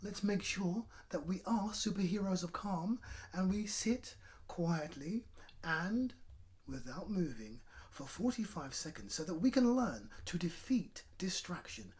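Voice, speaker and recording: Animated male children's storyteller, encouraging. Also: sits high, normal speed. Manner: encouraging, addresses young listeners directly. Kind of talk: livestreamed storytime talk and lively story narration.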